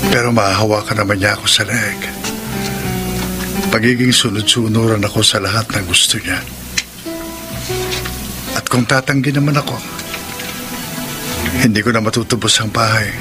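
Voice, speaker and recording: A man's voice: fairly low pitch, normal speed, pauses often, over music, lightly acted. A man with a Filipino accent playing a cornered, sardonic married man in a radio drama, with dramatic delivery. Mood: worried brooding.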